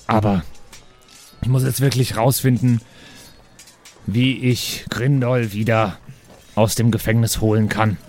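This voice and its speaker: A man's voice: on the low side, fairly even intonation, measured pace, long pauses, a little mellow, soft background music. Casual man playing a tabletop game. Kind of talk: casual improvised role-play.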